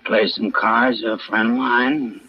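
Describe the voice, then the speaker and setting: A man's voice: mid-range voice, fairly even intonation, a little slow, close to an everyday voice. A surly American garage mechanic with a prison past acted by a man in an old radio crime drama. He talks in defensive, slangy grumbles, and the recording is dull with faint noise. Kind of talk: 1950s radio drama acting.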